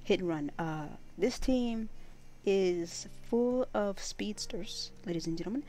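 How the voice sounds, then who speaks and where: A woman's voice: medium pitch, takes it slowly, dark tone, a little hiss or hum. A woman livestreaming a game with casual, playful, chatty live commentary. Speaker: gaming livestreamer.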